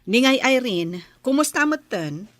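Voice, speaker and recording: A woman's voice: on the high side, animated, a little slow, a bit bright, no room sound. A woman playing a nosy older village neighbor in an Ilocano radio drama, inquisitive and familiar, with an Ilocano accent.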